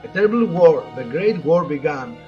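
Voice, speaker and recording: A man hosting a casual game playthrough, chatting haltingly and reading the on-screen text aloud with his own comments. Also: a little high, lively intonation. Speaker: host of a gaming playthrough. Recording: dull lo-fi mic, music behind. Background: game background music.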